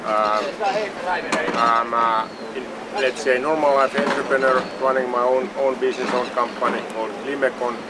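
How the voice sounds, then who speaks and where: A Finnish-accented man in his forties talks keenly about sailing, stumbling and doubling words as he looks for the next one. His pitch is middling and steady. Loud outdoor noise sits behind him. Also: moderate pace. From Finland. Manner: repeats words, searching, enthusiastic. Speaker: sailing crew member. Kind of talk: self-introduction to camera. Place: outdoors on a yacht in a marina.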